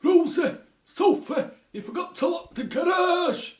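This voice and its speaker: A man voicing a shrill, sing-song comic character who scolds, in an amateur impression. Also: measured pace. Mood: shrill, scolding. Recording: roomy, echoing sound.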